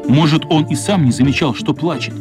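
A man's voice: on the high side, a little fast. A man reading scripted storytelling narration for a radio play. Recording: music playing behind the narration.

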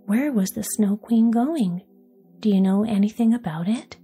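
A woman voicing an anxious little girl in a soft whisper, in a storybook tale. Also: mid-range voice, normal speed, faint music behind, natural voice.

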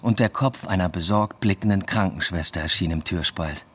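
Radio drama narrator: young man, low husky voice, matter-of-fact first-person storytelling. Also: moderate pace, a bit bright.

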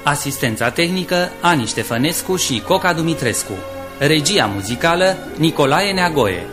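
A male announcer reads formal radio drama credits. His voice is slightly high and moves in a lively way, with deliberate phrasing. Music plays faintly behind him. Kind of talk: formal scripted credits reading.

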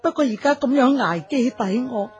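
A woman's voice: sits high, animated, fairly quick, a little mellow, lo-fi sound, faint music behind. An actress playing an elderly, worried mother-in-law in a Cantonese radio drama, nagging and sighing plaintively. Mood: lamenting.

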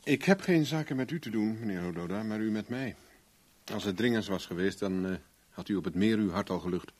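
Actor as a dry, cool private investigator, close sound. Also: male voice, lowish voice, fairly quick, darkish tone, no room sound, lightly acted. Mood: cool, confident. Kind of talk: radio play acting.

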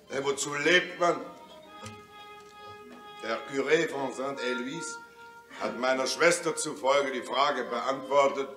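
An actor in a German radio play, playing a boisterous, philosophizing Parisian of the Commune era who declaims and sings. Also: male voice, mid-range voice. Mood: proud, philosophizing. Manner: declamatory, theatrical. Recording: roomy, distant radio-play sound with loud music.

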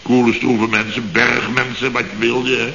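A man's voice: medium pitch, level tone, moderate pace, creaky. A man playing a construction boss, telling a comic story in a radio sketch and peppering it with little tags. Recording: dull, echoey old radio recording.

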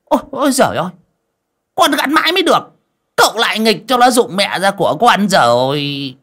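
A man's voice: medium pitch, lively intonation, slow pace, a bit bright, voice pushed up. A man voicing a cowardly, blustering older village coffin maker who stammers and speaks coarsely in an audiobook story. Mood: dismayed. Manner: stammering, panicky, coarse rustic speech.

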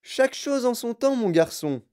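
Narrator performing elderly mischievous chocolatier, clear fluty high voice, effusive, excitable, repeating pleasantries, storybook character. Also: moderate pace, lightly acted. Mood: brisk, cheerful. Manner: excitable, repeats himself in courteous bursts. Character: sprightly, mischievous old chocolatier, gleeful and effusive.

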